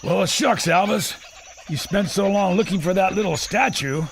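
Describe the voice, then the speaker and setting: An actor playing a cocky adventurer filmmaker and pilot in a pulp audio drama, theatrical, with old-time slang. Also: male voice, high voice, normal speed, slight background noise. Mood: breezy, confident. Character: brash adventurer filmmaker and war veteran pilot.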